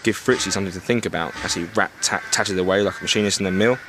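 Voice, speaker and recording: An actor as a low-voiced, gruff English army captain. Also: male voice, fairly even intonation, fast talker, noisy background, lightly acted. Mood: defensive, forceful. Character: gruff, indignant British army captain in World War One. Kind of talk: period audio drama acting.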